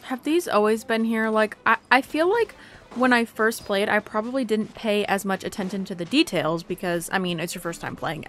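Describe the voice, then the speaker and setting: Chatty young woman, medium pitch, gaming commentary. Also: fairly bright tone. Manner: chatty, rambling. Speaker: gaming YouTuber. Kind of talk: casual let's-play commentary.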